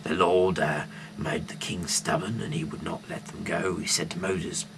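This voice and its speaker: Very deep gravelly man reading scripture haltingly. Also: level tone, slight background noise. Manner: halting, stumbling over words, with sudden loud emphasis. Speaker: amateur Bible reader. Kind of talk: reading scripture aloud with off-the-cuff asides.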